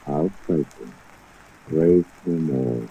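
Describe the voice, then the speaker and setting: An actor playing a simple, pious dockside newspaper seller in a 1950s radio drama, with a gravelly voice and a halting, vague, solemn way of talking. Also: male voice, a bass voice, heavily acted. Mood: dazed, devout. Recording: muffled phone-like old radio recording with hiss. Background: steady rain.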